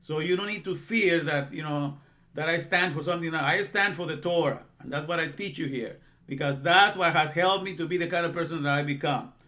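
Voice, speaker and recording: A man's voice: a little high, a little fast, pushed and bright. Rambling man in his sixties teaching scripture. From Guatemala. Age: 60s. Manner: rambling, repeats himself, frequent "you know". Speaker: religious teacher. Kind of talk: informal religious teaching.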